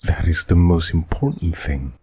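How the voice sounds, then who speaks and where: Game dub: man playing grave dragon sovereign judge, male. Low, heavily husky, measured, formal, sorrowful. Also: animated, darkish tone, full character voice. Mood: grave, probing. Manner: formal, measured, deliberate questioning. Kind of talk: video game dub voice impression.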